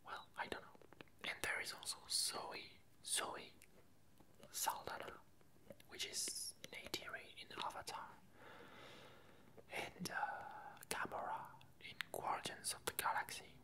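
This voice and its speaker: A woman whispering a casual ASMR chat in a breathy, halting way with long pauses. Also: low-quality recording. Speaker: ASMR video creator.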